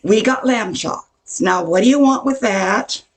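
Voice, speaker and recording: A woman's voice: medium pitch, a little slow, lightly acted. An older woman playing a brassy, short-tempered diner waitress with a blunt, sassy manner in an amateur radio play. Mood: indignant, scolding.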